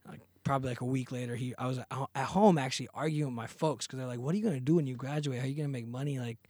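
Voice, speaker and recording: A relaxed man in his thirties tells his story in loose, slangy chat, his voice sitting at an ordinary middle pitch. The sound is close and dry, with hardly any room around it. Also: very fast, breathy edge, no room sound. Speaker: podcast guest, singer. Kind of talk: casual interview chat.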